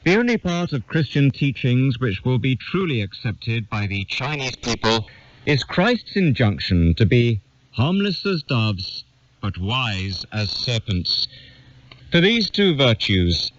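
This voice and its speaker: A man reads a literary essay aloud in a measured, formal, declamatory way. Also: mid-range voice, very animated, a bit bright, muffled recording, slight background noise. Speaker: reader of an essay. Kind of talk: scripted narration, reading a literary essay aloud.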